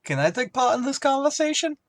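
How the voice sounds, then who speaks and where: A man playing a dim-witted barfly in an old-time radio comedy, delivering deadpan non sequiturs. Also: very high voice, a little fast, dry recording, heavily acted. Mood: dopey. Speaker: voice actor.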